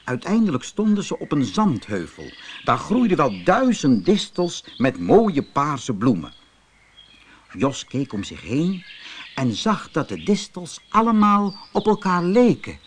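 A man narrating a children's fairy tale as a scripted audiobook, with expressive, dramatic delivery. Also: fairly high pitch, unhurried, a little hiss or hum. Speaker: audiobook storyteller.

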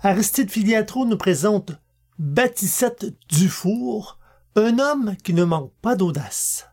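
A man's voice: high-pitched, animated, slow, darkish tone. A man hosting a podcast and reading stories aloud in scripted narration. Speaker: podcast host and audiobook reader.

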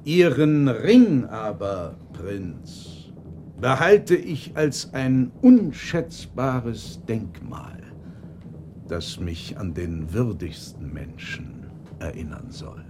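An actor playing a mysterious, solemn magician in a radio play, speaking in a ceremonious, formal way. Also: male voice, lowish voice, animated, background noise. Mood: humble, dignified. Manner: ceremonious, measured, formal address. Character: mysterious solemn male magician, grand and secretive. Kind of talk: German radio play acting.

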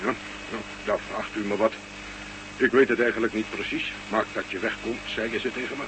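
An actor playing an easygoing, plain-spoken Russian space station commander in a Dutch radio play. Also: male voice, lowish voice, a little fast, lightly acted. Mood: musing, unhurried. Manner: colloquial, musing, folksy. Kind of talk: Dutch science fiction radio play acting. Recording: noisy old radio recording with hum.

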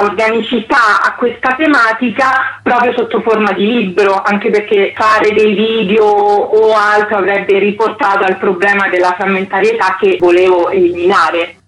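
A woman's voice: a little high, rough recording. Female interviewed author, academic and formal in phrasing, explanatory delivery.

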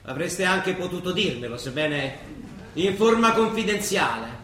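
An actor playing a rebellious, disillusioned middle-aged priest in a radio drama, wry and plain-spoken with a Roman accent. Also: male voice, high-pitched, big pitch swings, measured pace, bright, forceful tone, exaggerated voice. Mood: sardonic, bitter. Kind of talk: Italian stage-style radio drama. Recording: echoey stage recording, distant mic, hiss.

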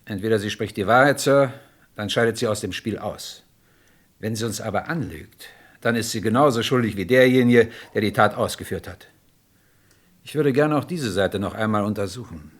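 Man acting a low-voiced, insistent police inspector. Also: moderate pace, lightly acted. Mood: brooding, reasoning. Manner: probing, formal questioning. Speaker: actor. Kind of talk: radio crime drama acting.

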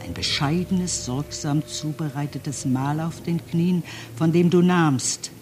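A man acting a solemn poetic monologue in a radio play, an anguished, accusing figure addressing mankind, with dramatic declamation that falls into hushed tones. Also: on the high side, expressive, slow pace. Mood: fervent, accusing. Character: anguished male speaker reciting a fervent accusatory address to mankind. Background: music.

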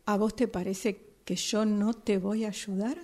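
A woman playing a weary, guarded mother in an improvised radio drama, speaking tersely with an Argentine accent and a breathy voice. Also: mid-range voice, fairly even intonation, a little slow, a little mellow, close to an everyday voice. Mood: resigned. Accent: Argentine Spanish. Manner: terse, echoing questions. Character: weary, guarded mother of a teenage daughter, knitting.

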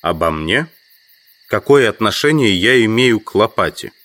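An actor playing a questioning, brooding trapped scientist in an audio drama. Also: male voice, lowish voice, animated, moderate pace, a bit bright. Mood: puzzled, questioning. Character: puzzled, brooding entomologist trapped in a sand pit.

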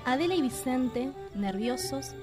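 A woman's voice: high voice, animated, measured pace, over music. A woman playing the storyteller, reading scripted narration for a romantic radio play. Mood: solemn.

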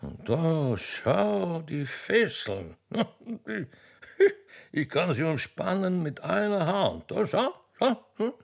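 A man playing a lecherous, flattering aristocrat with an Austrian accent and an oily, repetitive manner in a radio play. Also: on the high side, slow, full character voice. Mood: flirtatious. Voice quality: gravelly, husky.

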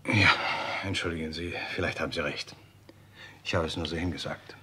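An actor playing a polite, measured male writer who narrates a 1960s German radio crime drama. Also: very deep voice, fairly even intonation, sharp tone, husky, full character voice. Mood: subdued, doubtful.

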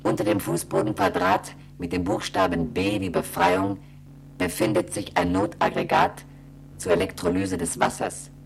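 A woman playing an impassive space station computer in a German radio play, reciting instructions in a gravelly, deadpan drone. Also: a bass voice, moderate pace, slight background noise. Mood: impassive, procedural. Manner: deadpan, bureaucratic, methodical.

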